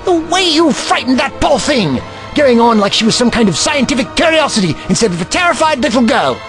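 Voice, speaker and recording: A man acts out a learned, eccentric time traveller in a sci-fi audio drama. He lectures in precise, old-fashioned words, protective and easily indignant, with pitch that swoops dramatically. Music plays along. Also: sing-song, a little fast, heavily acted. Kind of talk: science fiction audio drama acting.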